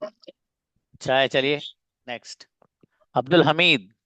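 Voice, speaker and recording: A man's voice: on the high side, takes it slowly, bright, forceful tone, no room sound. A man teaching an online English class, calling on students one by one with brief, encouraging words.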